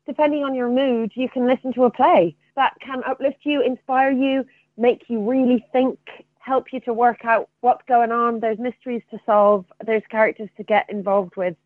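A woman's voice: a little high, steady tone, moderate pace, no room sound. A woman being interviewed as a theatre artistic director, speaking in an enthusiastic, reflective way over a phone-like line. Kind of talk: interview conversation. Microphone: remote phone or call.

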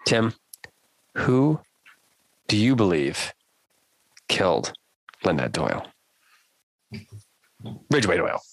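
Low-voiced man hosting a book chat. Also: very animated, takes it slowly, pauses often, no echo, rough recording. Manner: playful, guiding the talk with prompts. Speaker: podcast host. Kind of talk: casual book-club chat.

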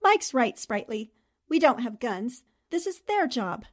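A woman's voice: a little high, very animated, measured pace. A woman playing a composed, polite female newspaper columnist in a radio drama. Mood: calm, reasonable.

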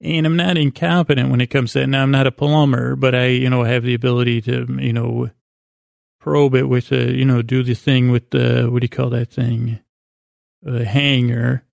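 A man hosting a sleep podcast in a creaky, gravelly voice, meandering through a bedtime monologue with halting pauses and false starts. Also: mid-range voice, level tone, darkish tone, no room sound, clean studio sound.

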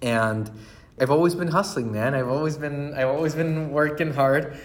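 A man's voice: a little high, normal speed. A man, a brand founder telling his story in a casual interview, laughing often and restarting his sentences. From Chile.

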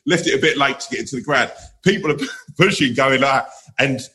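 A man's voice: medium pitch, brisk, bright, forceful tone. A man hosting a podcast, telling a self-mocking anecdote in a casual, laughing way. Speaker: podcast host. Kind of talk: casual storytelling.

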